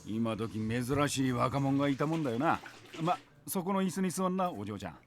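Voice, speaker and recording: An actor voicing a gruff, blunt middle-aged male shop owner in a visual novel, with brusque, rough casual speech. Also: mid-range voice, animated, very fast, faint music behind, lightly acted. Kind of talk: Japanese visual novel voice acting.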